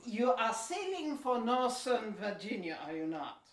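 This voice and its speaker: A woman playing a smooth, scheming agent with a measured, deliberate delivery in a historical audio play. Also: on the high side, very animated. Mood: cool, scheming. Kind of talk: historical radio play acting. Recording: roomy, echoing room sound.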